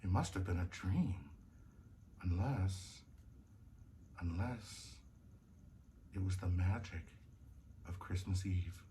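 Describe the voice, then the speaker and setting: A man voicing a gentle, curious young girl in a storybook, in a soft, hushed, wondering voice. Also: mid-range voice, huge pitch swings, moderate pace, hardly any pauses, a little hiss or hum. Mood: wistful.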